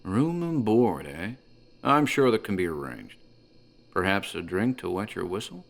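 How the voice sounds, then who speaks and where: A man playing a talkative country tavern landlord in a horror audio drama, deliberate, teasing and deferential in his storytelling. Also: deep voice, big pitch swings, vocal fry, heavily acted. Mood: dryly courteous. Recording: music under the voice.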